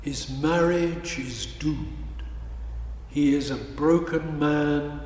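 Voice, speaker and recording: Guy narrating slow and grave, kinda high voice, far off in a big echoey space. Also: darkish tone. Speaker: narrator in a cantata. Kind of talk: solemn scripted narration. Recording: echoey hall, distant mic, music.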